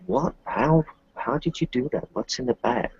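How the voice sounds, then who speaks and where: A man playing an insistent, suspicious male doctor who presses and demands in halting, repeated phrases, over a lo-fi voice chat. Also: mid-range voice, lively intonation, darkish tone, slight background noise, natural voice.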